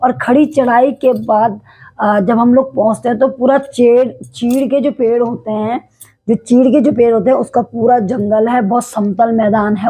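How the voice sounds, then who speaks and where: Hoarse devout woman from Uttarakhand. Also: fairly high pitch, dark tone, low-quality recording. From Uttarakhand, India. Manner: devout, explanatory, with frequent hesitations. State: slightly hoarse throat. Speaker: devotional vlogger telling a temple legend. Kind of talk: casual storytelling to camera.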